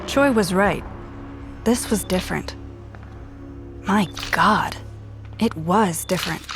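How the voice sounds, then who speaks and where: An actress playing a blunt, distrustful special agent in a noir audio drama, narrating and confronting in terse lines. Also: female voice, mid-range voice, slow pace. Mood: grim, brooding narration. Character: hard-boiled, distrustful female special agent. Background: background music.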